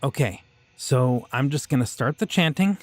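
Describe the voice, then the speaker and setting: A man's voice: medium pitch, expressive, moderate pace, no room sound, close to an everyday voice. A man playing an offhand, creepy occult shopkeeper. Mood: easygoing. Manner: casual, easygoing and offhand about dark things. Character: friendly but creepy male occult shopkeeper, young adult. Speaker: voice actor. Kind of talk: ASMR audio roleplay.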